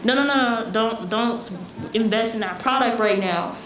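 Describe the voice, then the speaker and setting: A woman's voice: a little high, normal speed, a crowd in the background. Young woman talking in an echoey room. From United States. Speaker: town hall panelist. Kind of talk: conversational panel discussion. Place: echoey room at a town hall forum. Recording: echoey room, faint noise.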